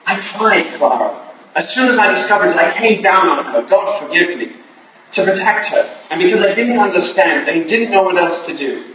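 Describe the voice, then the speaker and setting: A man's voice: high-pitched, expressive, normal speed. A man playing an earnest, pleading Victorian husband in a staged reading of a period play. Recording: echoey hall, distant mic, hissy noise.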